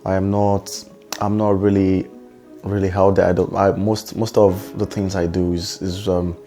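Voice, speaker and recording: Male entrepreneur, low mellow voice, hesitant answers, faint guitar behind. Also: little pitch movement, unhurried. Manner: hesitant, lots of um. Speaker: entrepreneur interviewee. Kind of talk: reflective interview answer.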